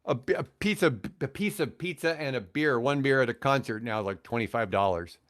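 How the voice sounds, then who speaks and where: Male podcast guest, mid-pitched, casual and opinionated, emphatic, halting delivery. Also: lively intonation, fairly bright tone.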